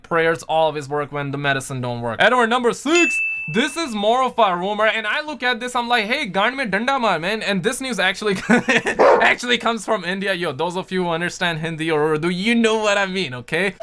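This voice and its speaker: Bright, high-voiced man hosting gaming news. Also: a little hiss or hum. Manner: animated, informal, addressing viewers directly. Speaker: host of a gaming news show. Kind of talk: casual, slangy news commentary.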